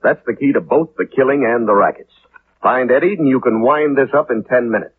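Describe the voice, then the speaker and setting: An actor playing a pompous, eager-to-please city commissioner in a 1950s radio drama, formal, officious and emphatic. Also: male voice, mid-range voice, a little fast, close to an everyday voice. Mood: emphatic, uneasy. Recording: tinny, noisy 1950s radio recording.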